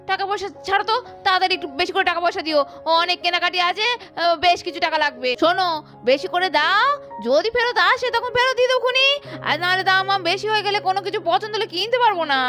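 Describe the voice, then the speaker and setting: A woman's voice: extremely high pitch, quick pace, bright, forceful tone. A woman voicing a bossy, demanding cartoon wife with an exclamatory, repetitive manner, over music. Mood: wheedling. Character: bossy, demanding, money-loving wife, easily won over. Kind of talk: doll cartoon story voice acting. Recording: faint music behind the voice.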